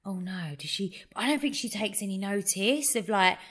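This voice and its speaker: A woman, co-hosting a podcast from home, telling stories in an animated, chatty way full of "like"s. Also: mid-range voice, moderate pace, breathy edge. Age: 30s. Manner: chatty, animated storytelling with many "like"s and "honestly"s. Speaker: podcast co-host. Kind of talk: casual chat. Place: home, on a video call.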